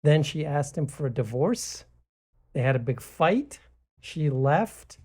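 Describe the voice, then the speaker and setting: A man's voice: fairly high pitch, sing-song, slow, darkish tone. A man from New City, an author guest telling stories in conversation with hesitant fillers. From New York City. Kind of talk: conversational storytelling.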